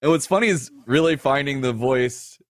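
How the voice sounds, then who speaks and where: A man's voice: medium pitch, moderate pace, no echo, studio quality. A man in his thirties, a show co-host, chatting casually on a livestream with frequent fillers and laughs.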